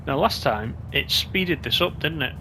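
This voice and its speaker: A man's voice: a little high, expressive, unhurried, music in the background. A man giving casual gameplay commentary as a video host, chatty and thinking aloud.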